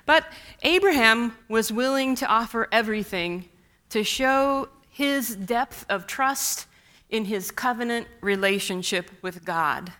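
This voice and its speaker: A woman preaches a sermon in a slow, deliberate way, dropping in wry asides. Her first language is Russian. Her voice is bright and sits a little high. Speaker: pastor.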